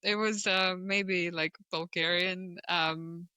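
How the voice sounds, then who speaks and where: A woman's voice: lowish voice, fairly even intonation, slow, bright, forceful tone, clean tone, no echo. A woman, telling her story conversationally as a founder being interviewed. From Russia, born in Moscow. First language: Russian. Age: 40s.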